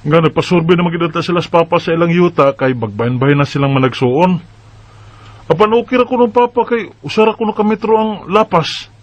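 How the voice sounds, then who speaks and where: A man's voice: fairly high pitch, animated, fast talker, muffled recording. A man with a Bisaya accent playing an indignant young farm son in a radio drama, protesting emphatically. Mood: aggrieved. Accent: Filipino Bisaya. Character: indignant grown son of a rural landowning family.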